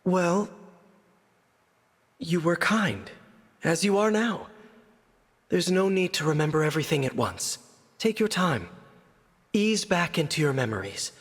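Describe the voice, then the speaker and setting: An actor playing a kind, courteous young heroic spirit in a video game, patient and reassuring. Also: male voice, on the high side, lively intonation, measured pace, long pauses, breathy edge. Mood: reassuring, calm. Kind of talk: scripted video game voice acting. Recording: long reverb on the voice.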